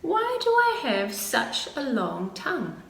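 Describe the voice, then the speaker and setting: A woman voicing a curious, excitable and affectionate young male bear cub from a children's storybook, full of eager questions and exclamations. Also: high-pitched, takes it slowly, gravelly, pitched above the performer's own voice, exaggerated voice. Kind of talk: children's storybook character reading. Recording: roomy, faint background noise.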